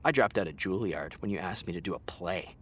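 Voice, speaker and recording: A man's voice: sits low, fairly quick, fairly bright tone, breathy edge. A man playing a hurt, fiery actor fed up with an old friend, speaking emphatically and sarcastically in a naturalistic audio drama. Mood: bitter, wounded.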